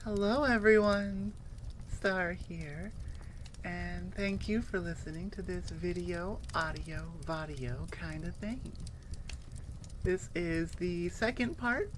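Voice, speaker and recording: A woman's voice: lowish voice, slow pace, a little hiss or hum. A woman creator talks casually to her audience. She is enthusiastic and playful, rambling along from one thought to the next in an informal way. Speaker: audio roleplay creator. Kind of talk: casual chat to listeners.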